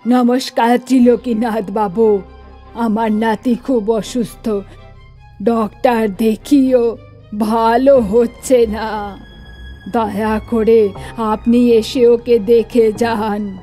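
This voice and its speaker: Casting: a worried grandmother for a cartoon ghost tale, measured and emphatic, soft dark tone, fairly high pitch. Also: steady tone, soft background music. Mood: concerned, decisive. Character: concerned, decisive grandmother of a sick boy. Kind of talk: scripted horror cartoon story acting.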